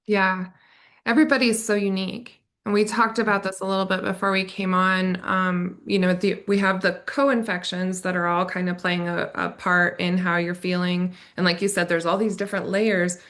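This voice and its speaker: Woman, interviewer, slightly low pitch, reflective conversational style, affirming, summarizing. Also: flat delivery, moderate pace, fairly bright tone. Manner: affirming, summarizing what guest said.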